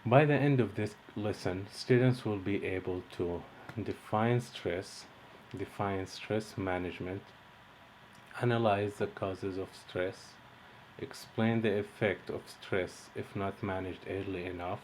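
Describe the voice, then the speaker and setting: Deep-voiced man reading a lesson. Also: a little slow, a little mellow, a little hiss or hum, poor audio. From Egypt. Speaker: course instructor. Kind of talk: read-out lesson introduction.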